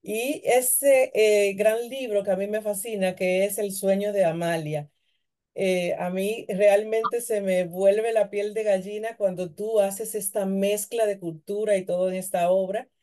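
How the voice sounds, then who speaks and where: A woman's voice: medium pitch, fairly even intonation, a little slow, dark tone. A middle-aged woman hosting a conversational interview over a video call. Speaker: interview host.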